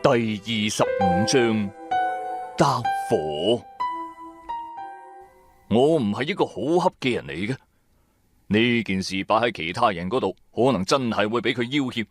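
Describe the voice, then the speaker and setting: A male audio drama narrator with a Cantonese accent. He tells the scripted tale theatrically, his pitch moving widely from phrase to phrase over faint background music. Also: unhurried, long pauses. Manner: theatrical, expressive.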